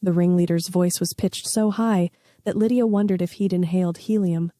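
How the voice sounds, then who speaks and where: A woman's voice: lowish voice, fairly even intonation, normal speed, warm tone, no echo, studio quality. A woman reading a scripted story aloud as its narrator.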